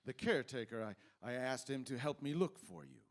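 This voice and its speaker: A man's voice: medium pitch, fairly quick, vocal fry, natural voice. A man playing a sensible, concerned husband in an old-time radio drama, speaking in an earnest, questioning way. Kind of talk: 1940s radio drama acting.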